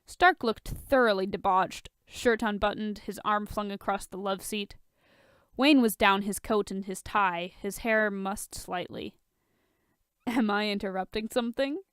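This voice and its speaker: Clear-voiced woman reading fan fiction. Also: medium pitch, fairly even intonation, measured pace, fairly bright tone, dry recording, studio quality. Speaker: audiobook reader of fan fiction. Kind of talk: scripted story narration.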